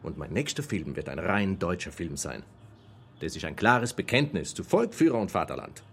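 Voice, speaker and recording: An actor playing an ambitious, proud and evasive mountain-born filmmaker in a German radio play. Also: male voice, low voice, sing-song, moderate pace, a bit bright, soft background music, full character voice. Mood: defiant, proud.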